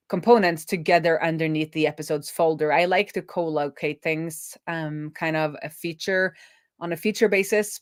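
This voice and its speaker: A woman's voice: low voice, dry recording, clean studio sound. A woman live streaming a casual coding walkthrough, talking informally and thinking aloud to herself as she works.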